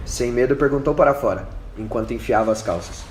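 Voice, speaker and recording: A man's voice: medium pitch, a little fast, music in the background. A man narrating a scripted radio drama as its storyteller. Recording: echoey room with faint noise.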